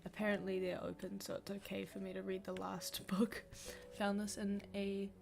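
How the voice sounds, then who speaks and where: A woman's voice: mid-range voice, completely flat delivery, moderate pace, creaky. A young woman with a New Zealand accent, sniffly, chatting casually and self-deprecatingly while reading a book aloud on a livestream at home.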